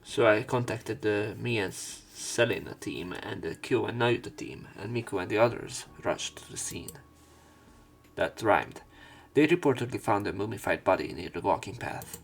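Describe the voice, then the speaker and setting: Gravelly, lowish-voiced man reading aloud. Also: animated. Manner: casual, halting read-aloud with asides. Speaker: let's player. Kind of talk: reading visual novel narration and dialogue aloud.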